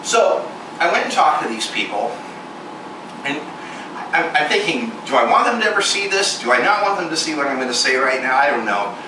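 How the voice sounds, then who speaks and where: A man in his forties giving a candid, self-mocking personal testimony sermon, with sudden jumps in pitch for emphasis. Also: very animated, a little fast, distant mic. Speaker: ministry performer speaking to a congregation. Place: large echoey venue room with air conditioning hum. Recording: echoey room recorded from afar with loud air-conditioner hiss.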